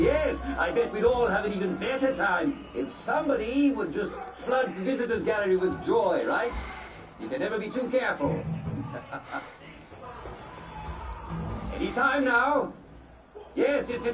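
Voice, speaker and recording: An actor playing a chirpy British broadcast host in a retro announcer style, with forced cheer, chuckles and theatrical hesitations. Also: male voice, high voice, low-quality recording. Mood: manic cheer. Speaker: broadcast presenter.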